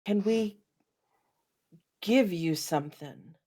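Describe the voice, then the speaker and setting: A woman's voice: mid-range voice, takes it slowly, mellow. A woman playing a tabletop role-playing game in a casual way, speaking tentatively and often in questions.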